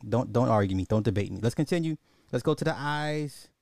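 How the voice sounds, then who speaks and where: A man's voice: medium pitch, lively intonation, brisk, gravelly, a little mellow, no room sound, studio quality. A man hosting a casual, opinionated commentary podcast in slangy, informal speech, thinking aloud and often repeating his own phrases. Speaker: podcast host.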